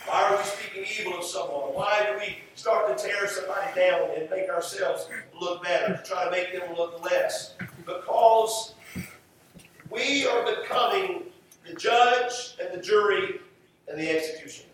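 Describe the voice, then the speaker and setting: An American man preaching a sermon in an emphatic style, with rhetorical repetition and deliberate pauses. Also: sits high, fairly bright tone. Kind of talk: sermon to a congregation. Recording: echoey, distant mic, faint background noise.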